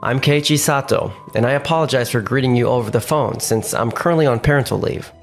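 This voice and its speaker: A man's voice: medium pitch, quick pace, vocal fry, soft background music, natural voice. A man playing a polite, conscientious young father who works in an office, speaking earnestly in a manga dub. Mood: polite, apologetic. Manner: courteous, earnest. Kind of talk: scripted manga dub acting.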